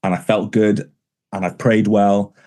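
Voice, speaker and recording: A man's voice: fairly low pitch, level tone, unhurried, a little mellow. A man, a pastor, talking in an interview conversation, with a stammer that shows in repeated words and restarts.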